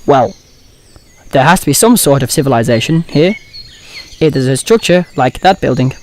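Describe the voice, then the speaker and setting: A man's voice: medium pitch, expressive, normal speed, lightly acted. A man playing a regretful, dutiful part-android astronaut in a fan audio drama, speaking in a clipped, earnest way.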